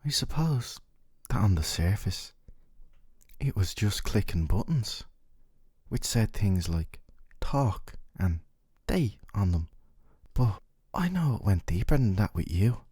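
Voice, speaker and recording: A man playing a gentle but possessive, smug dating-sim boyfriend in an ASMR roleplay, soft and coaxing, with articulate phrasing and teasing questions. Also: lowish voice, big pitch swings, slow, slightly rough, no echo, clean studio sound, full character voice. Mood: adoring, smug. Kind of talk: scripted ASMR roleplay monologue to the listener.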